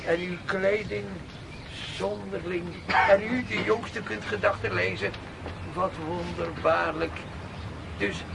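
An actor playing a humble, garrulous, middle-aged peasant woodcutter in a radio drama, plaintive and easily amazed. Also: male voice, high-pitched, vocal fry, exaggerated voice. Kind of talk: Dutch radio drama acting. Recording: noisy radio play with outdoor ambience.

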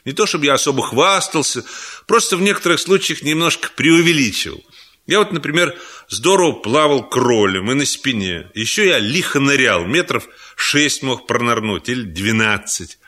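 A man narrating a humorous first-person story in an animated, playful, conversational way. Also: medium pitch, moderate pace.

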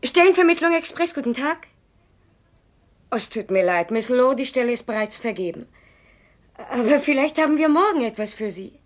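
An actress playing a brisk, put-upon secretary at an employment agency in a 1970s radio play. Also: female voice, high-pitched, lively intonation. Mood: businesslike. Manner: brisk, businesslike.

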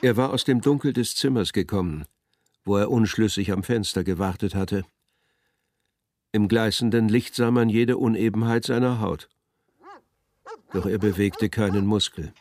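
A man narrating a literary radio drama in a measured, descriptive manner. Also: on the low side, darkish tone, slight background noise.

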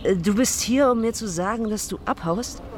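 A woman's voice: medium pitch, normal speed, a little mellow, slight background noise, lightly acted. An actress playing a blunt, slangy young woman who is hurt and cutting toward her boyfriend in a naturalistic radio drama. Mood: indignant, sarcastic. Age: young adult.

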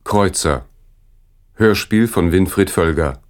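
Man, radio announcer, very low pitch, slow delivery, scripted credits.

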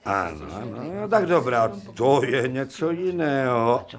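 A man's voice: mid-range voice, big pitch swings. An actor playing an imperious, ironic male professor in a radio play, curt and sardonic with an unbending authority. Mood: exasperated.

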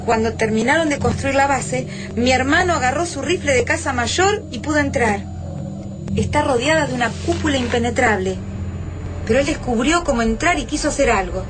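A woman's voice: high-pitched, normal speed, noisy background, lightly acted. An actress with an Argentine accent playing an alluring young woman survivor in a sci-fi radio drama. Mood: earnest, urgent.

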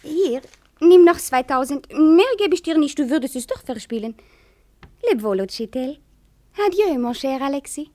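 A woman's voice: high-pitched, animated, normal speed, mellow. An actress playing a flighty, teasing, mercenary French kept woman in a German radio drama, coquettish and theatrical, sprinkling French phrases into her talk. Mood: cool farewell.

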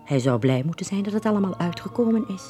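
An actress with a Flemish accent playing a gossiping, excitement-hungry village woman in a radio play. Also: mid-range voice, quick pace, faint music behind, natural voice. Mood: disappointed gossip. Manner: gossipy, speculating. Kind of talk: 1980s radio play acting.

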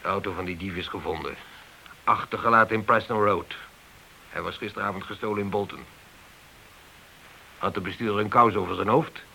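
A man's voice: lowish voice, brisk, creaky, long pauses, sharp tone, low-quality recording. A man playing a stern, sarcastic police inspector with an authoritative manner in a Dutch radio drama. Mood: brisk, businesslike. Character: stern, angry police inspector dressing down a subordinate. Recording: hissy old radio play recording.

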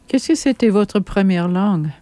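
A woman's voice: medium pitch, normal speed, dark tone, no room sound. A woman interviewing a guest on a radio show, asking polite, inquisitive questions.

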